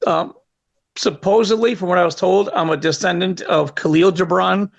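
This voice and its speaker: Halting man with a Chicago accent. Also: high-pitched, steady tone, creaky. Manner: halting, hesitant, many fillers. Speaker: guest, content creator. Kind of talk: casual panel chat. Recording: dull lo-fi sound.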